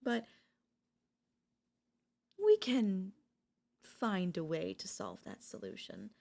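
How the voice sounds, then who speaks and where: A woman voice actor playing an obsessive older female professor in an ASMR audio roleplay, coaxing and deliberate, with dramatic pauses. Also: mid-range voice, huge pitch swings, vocal fry, darkish tone, exaggerated voice. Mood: coaxing, earnest.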